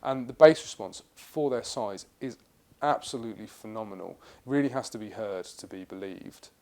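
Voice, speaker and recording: A man's voice: fairly low pitch, normal speed, darkish tone. A man presenting a retailer's product video guide, informative and enthusiastic, with occasional fillers and restarts. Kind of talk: product review narration.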